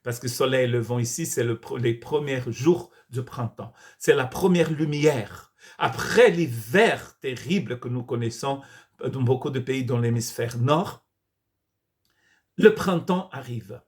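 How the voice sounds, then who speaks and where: A man's voice: mid-range voice, expressive, measured pace. A man from Brazil, a pastor, preaching a sermon in earnest, often restarting and repeating words. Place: church.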